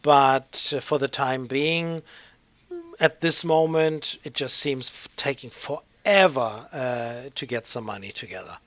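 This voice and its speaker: A man's voice: medium pitch, a little slow, a bit bright, no room sound. A man giving casual gameplay commentary as the host of a gaming channel, hesitant and rambling as he thinks aloud.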